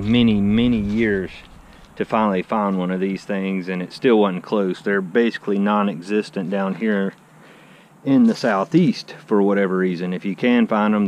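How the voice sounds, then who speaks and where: A man talks casually and eagerly, voice sitting a little low. He walks around outdoors on a handheld action camera, with a faint wash of outdoor noise behind him. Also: darkish tone. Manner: enthusiastic, chatty, says "I mean" often. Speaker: car channel host. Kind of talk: casual walkaround vlog. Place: outdoor driveway beside a garage. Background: faint outdoor ambience.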